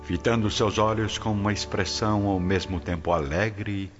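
A man's voice: fairly low pitch, steady tone, normal speed. A man with a Brazilian accent, giving dramatic scripted narration for a radio drama. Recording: dull lo-fi audio under loud music. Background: loud music.

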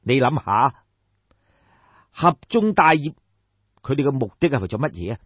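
A man's voice: mid-range voice, expressive, normal speed, breathy edge, no echo, dull audio. A man voicing a shrewd, commanding ancient king in an audiobook, lecturing in a pompous, rhetorical way. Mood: knowing, lecturing. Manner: pompous, rhetorical, sighing and explaining at length. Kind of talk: dramatised audiobook storytelling.

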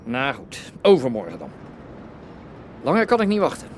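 An actor playing a slick smuggler who talks someone into joining a voyage. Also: male voice, mid-range voice, huge pitch swings, normal speed, slight background noise. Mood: persuasive. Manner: smooth-talking, persuasive. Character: slick male smuggler recruiting a crewman. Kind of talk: radio drama acting.

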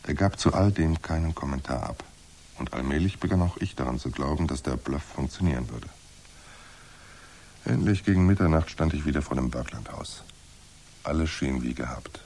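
World-weary noir detective in a radio play, super deep voice, dry and wry. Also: male voice, normal speed, a little mellow. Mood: wry, detached. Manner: dry, sardonic, laconic. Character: wry, world-weary hard-boiled male private detective. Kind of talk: noir radio drama, narration and dialogue.

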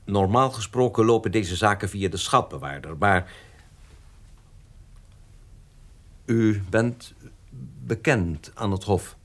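An actor playing a discreet, obsequious, evasive notary in a radio drama, polite and very formal. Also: male voice, lowish voice, measured pace, a bit bright. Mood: confidential. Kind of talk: Dutch radio drama acting.

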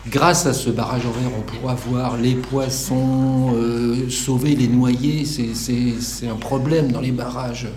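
A man's voice: mid-range voice, level tone, slow pace, hardly any pauses, darkish tone, natural voice. A man playing a boastful, hesitant engineer answering questions at a mock press conference in a radio fiction. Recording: roomy echo with faint noise.

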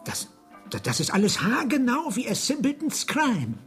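A man's voice: very high-pitched, very animated, moderate pace, a bit bright, faint music behind, heavily acted. An actor playing a mild, bewildered man in a radio drama, hesitant and stammering as he questions. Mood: disappointed, rueful. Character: nervous, bewildered man, mild and self-doubting. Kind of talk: 1980s German radio drama acting.

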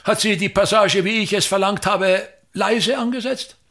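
An actor playing a pompous, grandiose older thespian patriarch in a radio drama, declaiming with gravelly, aggrieved emphasis. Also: male voice, sits high, moderate pace, pushed and bright, full character voice. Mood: indignant, grandiose. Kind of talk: theatrical radio drama monologue.

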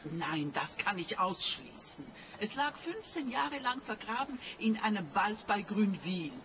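An actress playing a cool, calculating older client in a radio play, composed and insistent. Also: female voice, mid-range voice, sing-song, measured pace, fairly bright tone, slight background noise. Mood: explaining, evasive. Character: cool, calculating older female client, a bank robber's wife.

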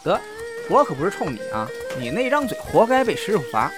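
A man playing an impatient, sharp-tongued young troupe member who scolds his friends in an audio drama. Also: sits high, huge pitch swings, a little fast, over music. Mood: scolding.

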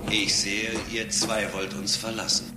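An actor playing a solemn, devout robot in a German radio drama, speaking in slow, formal, prophetic pronouncements. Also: male voice, lowish voice, flat delivery, natural voice. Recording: echoing voice in loud background noise.

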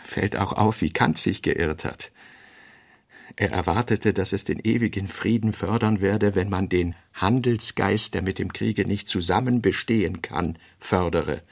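A man narrating a radio play, reading fragmentary notes in a measured, clipped way. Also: low-pitched, gravelly. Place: radio studio.

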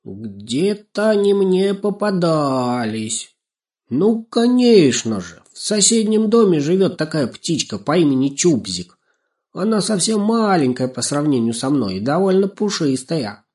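A man's voice: on the high side, sing-song, unhurried, a little mellow, pitched above the performer's own voice. A man voicing a proud, wise old female crow with a dignified, musing manner in a children's story.

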